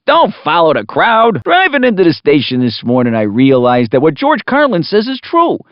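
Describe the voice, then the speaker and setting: A cocky male radio announcer delivers teasing, folksy race patter for a video game. His intonation leaps around in a very animated, showy way. The recording is dry and a little dull, like compressed game audio. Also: fairly high pitch, normal speed, no echo. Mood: chatty, wisecracking.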